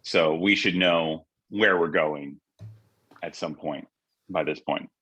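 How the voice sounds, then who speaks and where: Man, deep voice, dry close sound, halting, blunt informal critique. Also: sharp tone, husky, no room sound, muffled recording. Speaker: script panellist.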